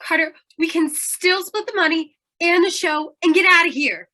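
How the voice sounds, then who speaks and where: Woman acting a high, sharp, desperate female crook. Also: moderate pace. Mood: tense, desperate. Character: desperate, gun-waving female carnival crook. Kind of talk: old-time radio crime noir acting.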